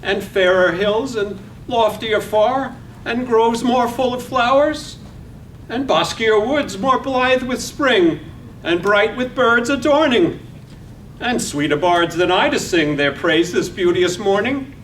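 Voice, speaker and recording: A gentle elderly bard of a preacher, played by a man, recites verse with fond earnestness. The voice is high and unhurried. The mic is far off, with a faint rumble. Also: lively intonation, full character voice. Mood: reverent, fond. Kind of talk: verse recitation in a stage-read radio play.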